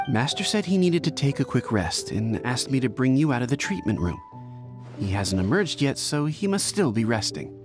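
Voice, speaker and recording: A man's voice: medium pitch, very animated, normal speed, lightly acted. A man voicing an earnest young apprentice. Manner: earnest, dutiful. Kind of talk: video game voice acting. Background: music.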